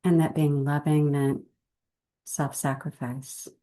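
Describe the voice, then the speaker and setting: A woman leading a guided meditation in a gentle, unhurried way, with measured phrases and long pauses. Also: deep voice, little pitch movement.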